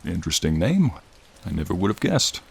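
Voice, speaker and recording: A man's voice: a little fast, full character voice. A man voicing a friendly, charming frost dragon in a soothing, courtly, admiring manner, in an ASMR roleplay with rain behind him. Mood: friendly, hospitable. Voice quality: low, gravelly, soothing. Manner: courtly, leisurely, warmly attentive. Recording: steady rain sounds behind the voice. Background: rain falling.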